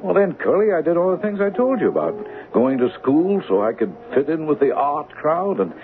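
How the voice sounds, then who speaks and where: An actor playing an eager small-time swindler in a 1970s radio drama, slangy, wheedling and prone to stammering. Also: male voice, a little high, big pitch swings, brisk, phone-quality sound. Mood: sly, explaining. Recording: thin band-limited old radio, faint music.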